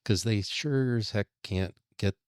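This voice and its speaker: Slow, low-pitched wry man. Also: clear, resonant tone, no room sound, clean studio sound. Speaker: podcast panelist. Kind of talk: casual chat.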